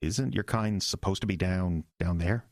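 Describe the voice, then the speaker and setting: An actor playing an earnest small-town pastor in an audio drama, halting, agitated and incredulous. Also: male voice, low-pitched, dry recording, studio quality, lightly acted. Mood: wary, questioning.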